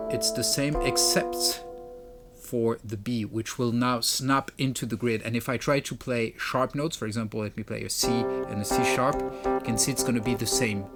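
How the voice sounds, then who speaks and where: A man presenting a music gear tutorial in a casual, explanatory way. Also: mid-range voice. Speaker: presenter demonstrating a music pedal. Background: keyboard and synth demo notes.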